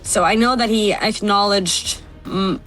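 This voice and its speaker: A woman's voice: medium pitch, a little slow, a bit bright, faint music behind, poor audio. Candid woman on a video call. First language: Norwegian. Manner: candid, laughs between points. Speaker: journalist, interview guest. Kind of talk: candid remote interview.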